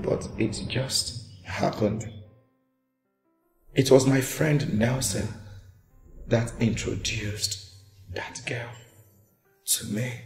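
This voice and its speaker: An actor playing a remorseful man who pleads with his partner for forgiveness. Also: medium pitch. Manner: pleading, apologetic. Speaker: actor in a relationship skit. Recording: echoey room with faint music.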